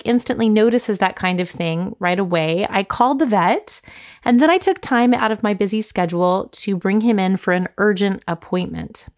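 Slightly scratchy-voiced middle-aged woman podcaster. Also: on the high side. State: mild head cold. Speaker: podcast host and coach. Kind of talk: personal podcast monologue.

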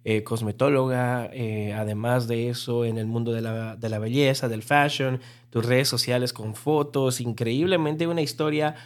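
A man's voice: mid-range voice, fairly even intonation, moderate pace. A man hosting a podcast, opening with an upbeat radio-style introduction before asking his guest casual questions. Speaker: podcast host. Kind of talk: podcast interview.

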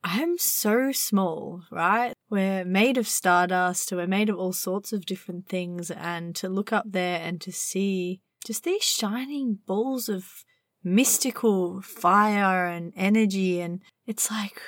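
Dreamy, slightly husky young woman host. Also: mid-range voice, no room sound, clean studio sound. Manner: dreamy, meandering, drawn-out words. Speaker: podcast host. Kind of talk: casual spiritual podcast monologue. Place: inside a van at night.

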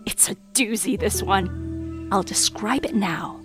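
An actress playing a wry, scheming female narrator character in an audio drama, teasing and theatrical with hushed asides. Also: mid-range voice, takes it slowly, natural voice. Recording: studio audio drama with music underneath.

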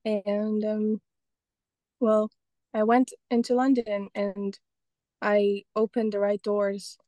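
Mellow-voiced woman from Estonia, chatty guest. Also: medium pitch, level tone, slow, no room sound. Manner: rambling, many restarts and fillers. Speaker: guest, painter and photographer. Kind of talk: casual interview talk.

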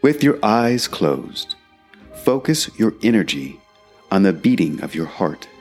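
A man guiding a meditation in a calm, measured way, leaving long pauses between phrases. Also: fairly low pitch. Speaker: meditation guide. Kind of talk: guided meditation narration. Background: background music.

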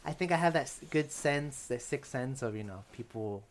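A relaxed young man chatting on a podcast. He rambles and restarts often, with a middle-range voice that is neither high nor low. His delivery is loose and conversational. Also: normal speed. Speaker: podcast guest. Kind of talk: casual podcast chat.

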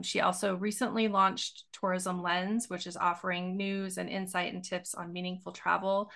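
Soft-spoken woman hosting a podcast. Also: on the low side, creaky. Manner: soft-spoken, earnest, with frequent ums. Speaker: podcast host. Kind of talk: podcast interview.

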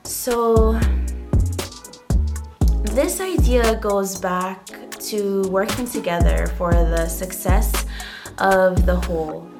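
Slow, soft-spoken woman from Hawaii. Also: medium pitch. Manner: slow, gentle, many ums and pauses. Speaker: podcast host. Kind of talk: casual solo podcast monologue. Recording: loud background music.

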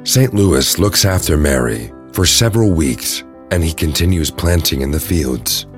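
Male narrator, bass register, scripted audio drama storytelling over soft music. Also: animated, unhurried.

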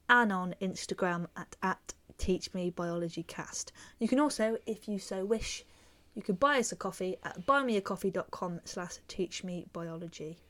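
A woman with a mid-range voice co-hosts an educational podcast. She speaks casually with small hesitations and reads listener emails aloud. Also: mid-range voice. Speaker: podcast co-host.

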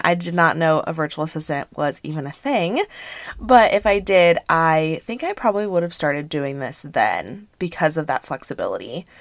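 A woman in her thirties hosting a casual solo podcast, hoarse and congested from allergies, chatty with frequent fillers. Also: lowish voice, no room sound, studio quality. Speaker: podcast host and business coach.

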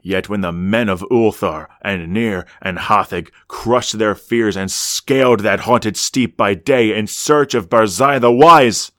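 An actor playing a grave storyteller, narrating a gothic horror radio drama with solemn, theatrical, measured phrasing. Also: male voice, on the low side, fairly bright tone, dry recording, studio quality. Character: grave storyteller of an ancient dread legend.

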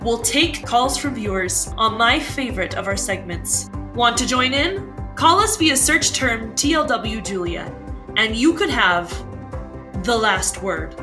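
A woman's voice: on the high side, lively intonation, measured pace, music in the background. A woman playing a poised livestream talk-show host in a fiction podcast, with a polished presenter's manner. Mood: upbeat, welcoming. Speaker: host taking calls.

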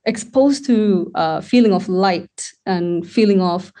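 A young woman from Sri Lanka, a wedding photographer, talking casually as a guest and pausing often to find her words. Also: lowish voice, mellow. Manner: thoughtful, searching for words with many fillers. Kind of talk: casual podcast conversation.

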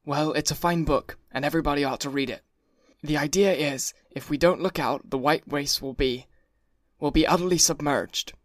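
A man playing a gruff blustering rich husband. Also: a little high, moderate pace, no echo, studio quality, close to an everyday voice. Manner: gruff, insistent and pompous. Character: blustering wealthy young husband, cross and brutish.

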